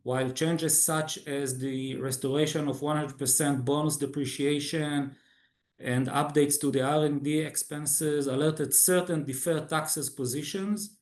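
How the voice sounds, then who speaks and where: A man's voice: on the high side, fairly even intonation, a little slow, a little mellow. Echoey male executive, non-native English, presenting results. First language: not English. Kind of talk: scripted earnings call presentation.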